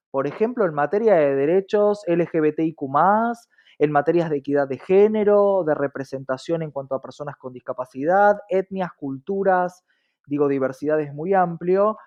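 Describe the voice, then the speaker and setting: The recording is dull and a little muffled. An Argentine-accented man speaks with a very mellow, dark timbre, explaining his points as an interview guest. His delivery is articulate and emphatic, often checking in with rhetorical questions. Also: on the high side. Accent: Argentine Spanish. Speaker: interview guest, diversity advocate.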